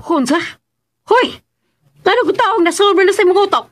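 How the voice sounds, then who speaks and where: High, dramatic, scheming mother. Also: lively intonation, moderate pace, noisy background. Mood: indignant. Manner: emphatic, exclamatory. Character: agitated, scheming middle-aged mother, Tita Sofia. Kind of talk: melodramatic radio drama acting.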